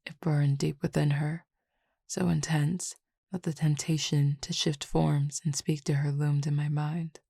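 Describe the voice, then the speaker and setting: A woman playing a calm, teasing Cupid roommate with formal, measured speech in an intimate roleplay audio drama. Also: deep voice, vocal fry, breathy edge, dry recording, clean studio sound, heavily acted. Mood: solemn, reflective.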